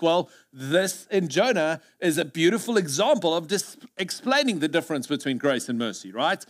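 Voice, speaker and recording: South African preacher in his thirties, high tenor, earnest sermon delivery on a headset mic. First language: Afrikaans. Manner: earnest, gesturing broadly, swings from loud emphasis to hushed. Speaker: pastor. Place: church stage indoors.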